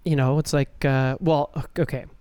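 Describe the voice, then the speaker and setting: A man's voice: mid-range voice, flat delivery, a little fast, darkish tone, clean tone. A man co-hosting a podcast, telling stories casually in a laid-back, slangy way. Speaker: podcast co-host. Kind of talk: casual storytelling.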